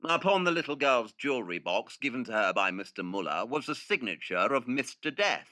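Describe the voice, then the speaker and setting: A man's voice: mid-range voice, big pitch swings, brisk, pushed and bright. A man playing a shrewd, precise, self-assured Victorian detective in an audio drama. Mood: probing.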